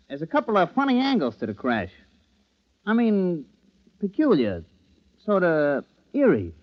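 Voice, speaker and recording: A patrolman phoning his sergeant in a vintage radio thriller. The voice is fairly high and very expressive, colloquial and matter-of-fact. The recording is somewhat dull. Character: weary patrolman reporting an eerie case to his sergeant. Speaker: police officer. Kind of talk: old-time radio drama acting, phone report.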